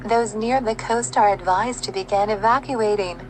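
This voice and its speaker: A woman's voice: medium pitch, normal speed, close to an everyday voice, poor audio. Woman acting as a solemn disaster broadcaster, faint hum. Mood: solemn, composed. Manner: formal, composed. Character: solemn female broadcaster reading official disaster instructions. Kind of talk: scripted news bulletin.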